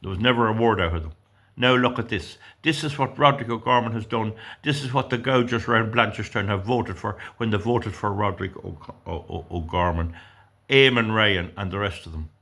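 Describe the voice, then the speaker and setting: A man's voice: lowish voice, brisk, pushed and bright. An Irish man in his sixties who reads out news items and rants about them indignantly, rambling and tripping over his words. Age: 60s. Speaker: political commentator. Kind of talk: reading out news and ranting.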